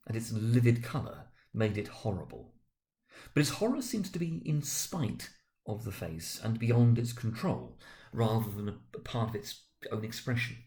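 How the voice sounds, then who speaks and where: Expressive man giving a dramatic reading. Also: vocal fry. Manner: expressive, swinging between loud emphasis and hushed low passages. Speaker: school director reading aloud. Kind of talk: dramatic reading of a classic novel.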